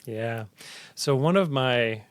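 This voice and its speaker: A middle-aged man tells his story at a steady, thoughtful pace, earnest and reflective rather than showy. His voice sits at an ordinary male pitch. The sound is close and dry, with no room echo. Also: slow. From Tanzania. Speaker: health center executive director, podcast guest. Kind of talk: conversational interview answers.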